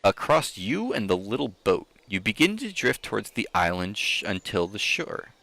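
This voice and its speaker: A man reading a story aloud in a theatrical, expressive manner, with casual asides, like a streamer hosting for an audience. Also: fairly low pitch, normal speed, creaky, no echo.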